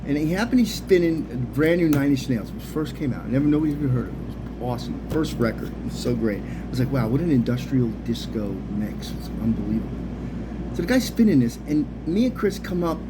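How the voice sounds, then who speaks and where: A man's voice: medium pitch, fairly quick. A middle-aged man with an American accent telling a casual, rambling story in loose, slangy, sweary talk. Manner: animated slang-heavy talk with frequent swearing. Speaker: storyteller, video host. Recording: clearly noisy background hum.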